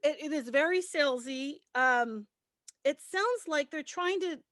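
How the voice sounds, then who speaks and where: High-voiced woman on a panel, dry sound. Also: lively intonation, a little slow, a bit bright, dry recording. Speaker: panelist, radio host and producer. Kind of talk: casual panel discussion.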